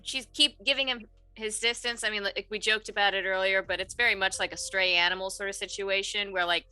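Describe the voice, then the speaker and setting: Bright-voiced woman playing a tabletop game. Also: medium pitch, steady tone, clean tone, soft background music. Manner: halting, informal, thinking aloud. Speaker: tabletop roleplaying player. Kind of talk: casual in-game description.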